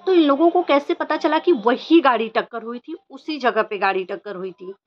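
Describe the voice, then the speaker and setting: A woman's voice: fairly high pitch, normal speed. A woman vlogger giving informal opinion commentary, questioning insistently and repeating her points for emphasis. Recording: dull lo-fi audio with faint noise.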